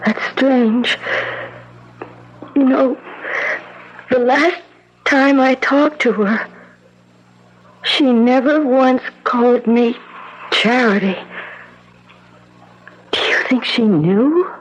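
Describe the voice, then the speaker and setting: An actress playing an earnest, tender young woman in a 1970s radio drama, speaking gently and hesitantly. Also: high voice, very slow, pauses often, a little hiss or hum. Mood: puzzled, gentle. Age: young adult. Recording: dull lo-fi 1970s radio recording.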